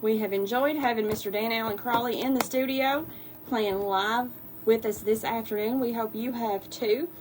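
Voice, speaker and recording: A woman's voice: fairly high pitch, steady tone, moderate pace, a little hiss or hum. A woman hosting a live radio show, friendly and rambling with many fillers, in a radio studio. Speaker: radio host.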